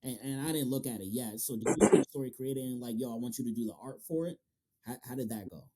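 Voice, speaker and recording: A man's voice: mid-range voice, fast talker, mellow. A man hosting a casual interview, talking in loose slang and swearing freely. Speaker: podcast interviewer and manga reviewer.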